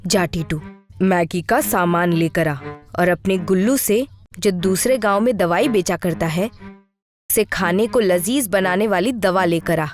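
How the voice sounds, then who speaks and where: A woman voicing a greedy, bossy rich landlady in an animated story, with an imperious, brazen manner. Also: lowish voice, measured pace, faint music behind, pitched below the performer's own voice, natural voice. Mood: scheming. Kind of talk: animated moral story acting.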